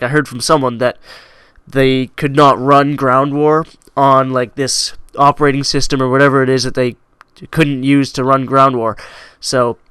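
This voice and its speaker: A man's voice: medium pitch, a bit bright, smooth, no room sound. Young man talking with a cold. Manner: rambling with many likes and restarts. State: coming down with a cold. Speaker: gaming channel host. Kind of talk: casual game review over gameplay.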